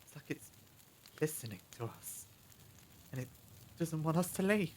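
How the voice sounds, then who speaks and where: Actress as gloomy captive, fretful, low pitch, faint background noise, audio drama. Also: female voice, lively intonation, moderate pace. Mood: uneasy. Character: gloomy, paranoid captive, anxious pessimist. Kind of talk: fan audio drama acting.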